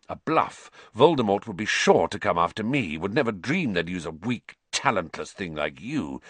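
Man doing a bitter wizard, low growling voice, venomous, lively swings, dry. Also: no echo, exaggerated voice. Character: bitter, vengeful male wizard, former prisoner.

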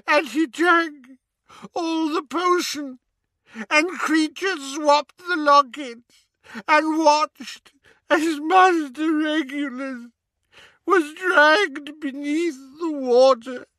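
A man's voice: very high voice, steady tone, extremely slow, bright, forceful tone, dry recording, pitched above the performer's own voice, exaggerated voice, low-quality recording. A man voicing an elderly male house-elf, servile and grief-stricken, crying and stammering through a wail. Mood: sobbing, pleading. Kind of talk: audiobook character voice.

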